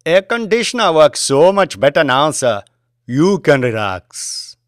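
A man's voice: on the high side, big pitch swings, a little slow, loud music behind the voice, voice pushed up. A man playing a chatty, proud Indian chauffeur with an Indian accent, deferential and insistent in an audiobook. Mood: soothing. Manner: deferential, insistent, non-native grammar.

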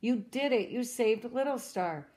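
A woman reading a children's storybook aloud as a cheerful young girl explorer, in a gentle, encouraging way that asks the listener questions. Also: a little high, lively intonation, unhurried. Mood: upbeat. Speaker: storybook reader.

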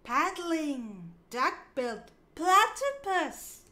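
A woman reading a picture book aloud for young children, in a sing-song, clearly enunciated way. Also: high voice, big pitch swings, extremely slow, pushed and bright. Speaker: children's storybook reader.

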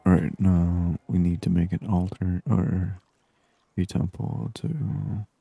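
Soft-spoken male gaming narrator, deep and creaky, murmuring unhurriedly for ASMR. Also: little pitch movement, slow pace. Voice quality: soft, hushed, breathy voice. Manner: murmured thinking aloud in short phrases. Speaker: gaming streamer. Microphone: close binaural microphone.

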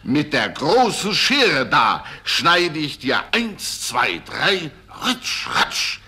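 A man's voice: a little high, huge pitch swings, very slow, pushed and bright, husky, full character voice. An actor playing an indignant, good-hearted huntsman in a fairy-tale radio play, declaiming and exclaiming. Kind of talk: 1970s German fairy-tale record acting.

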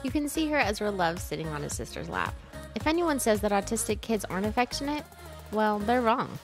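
A woman in her thirties narrating her family's travel vlog in a chatty, lively way, laughing often. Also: medium pitch, a little fast, soft background music. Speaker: family vlogger, mother.

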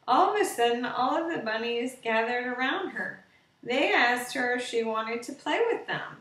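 A woman's voice: a little high, animated, moderate pace, fairly bright tone, a little hiss or hum. A woman in her thirties reading a children's bedtime story aloud in simple narration, recorded on a webcam in a living room. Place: living room with sofa and window, indoors. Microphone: webcam at about arm's length or more. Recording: roomy, distant webcam sound.

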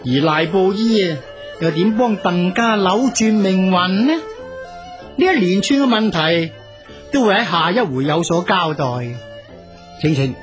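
A man's voice: high voice, animated, unhurried, highs cut off, music in the background. An actor playing an anxious old man and storyteller in a radio drama, exclaiming theatrically. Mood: suspenseful storytelling. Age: older. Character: anxious old male companion of a master, also storyteller.

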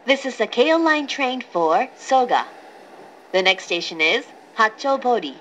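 A woman reading a scripted, pre-recorded train announcement in a formal, clearly enunciated manner. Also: on the high side, very animated, slow pace, a bit bright, poor audio. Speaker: English-language train announcer. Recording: recorded aboard a train, clear background noise.

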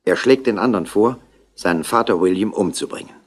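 An actor playing an eager subordinate police inspector, reporting briskly and factually in a 1960s radio play. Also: male voice, lowish voice, close to an everyday voice. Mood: eager, speculating.

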